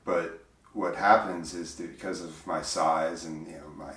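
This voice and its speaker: A man with a big, deep voice, speaking as an interview guest in a reflective, hesitant way, full of fillers and restarts. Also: fairly even intonation, measured pace, a bit bright, recorded from a distance. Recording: roomy, voice from off mic.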